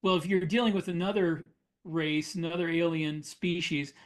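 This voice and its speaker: Guy with a bit of a higher voice just talking shop on a podcast, matter of fact. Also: steady tone, normal speed. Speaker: podcast co-host, science fiction author. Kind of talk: casual chat about writing craft.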